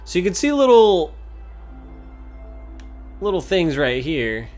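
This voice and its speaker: A man's voice: high voice, sing-song, takes it slowly, a little mellow. A man giving casual, conversational gameplay commentary as the host of a video game series. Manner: informal, conversational. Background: game music.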